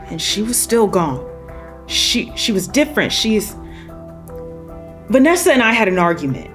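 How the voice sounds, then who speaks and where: A woman's voice: mid-range voice, sing-song, unhurried, fairly bright tone, faint music behind. A woman playing an angry, worn-down mother in a script read, telling her story in a blunt, folksy way. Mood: shaken, bitter. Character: angry, weary mother of a missing teenage daughter. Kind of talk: screenplay table read.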